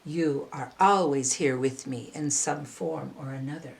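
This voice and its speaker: A woman voicing a kind, sorrowful exiled male poet who answers quietly and calmly. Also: deep voice, a little slow, vocal fry, pitched below the performer's own voice. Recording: roomy, echoing voice recording.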